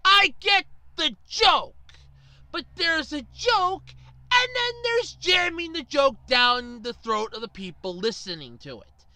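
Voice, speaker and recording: A woman rants at her viewers in a bright, forceful voice that swings widely up and down. She is sarcastic and exasperated, leaning hard on her words. The sound is dry, with no room around it. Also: sits high, very animated, slow, dry recording. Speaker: video ranter. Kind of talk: opinionated rant to viewers.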